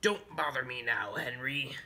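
A man voicing a gruff, scornful pirate rabbit crewman in a storybook, taunting and dismissive. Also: medium pitch, measured pace, bright, forceful tone, slight background noise. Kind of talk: storybook character voice.

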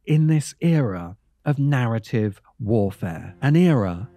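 A man narrating a scripted video essay in a measured manner, pausing for emphasis. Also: medium pitch, expressive, dark tone, dry recording. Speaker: host and narrator. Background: music.

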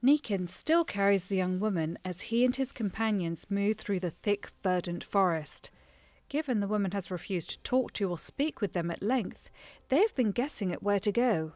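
A woman's voice: medium pitch, normal speed, darkish tone, dry recording. A woman narrating a scripted fantasy audio drama.

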